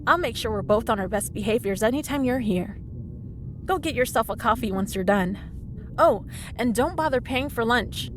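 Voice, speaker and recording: A woman's voice: a little high, lively intonation, moderate pace, over music, lightly acted. A woman playing a cheeky, smug female villain in a comedic audio roleplay, with breezy, sarcastic teasing. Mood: excited, friendly. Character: cheeky, smug woman supervillain, frequent hospital patient.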